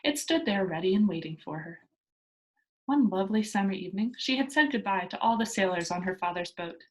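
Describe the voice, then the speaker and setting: A woman teacher reading a children's book aloud and explaining it to young pupils in an expressive storytelling manner. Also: mid-range voice, fairly quick.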